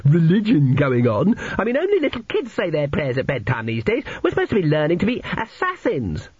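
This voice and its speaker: A skinny, sneering schoolboy, played by a man complains in a high, husky voice. He is indignant and whining, in the manner of a storybook character. The sound is a little muffled. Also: wildly swooping pitch, brisk, darkish tone, no echo, full character voice.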